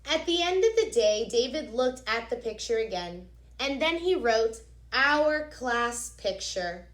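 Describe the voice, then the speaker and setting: A woman reading a picture book aloud as a teacher, expressively, pausing to explain things directly to young children. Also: a little high. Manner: expressive, instructive, addresses young listeners directly. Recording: echoey, reverberant room.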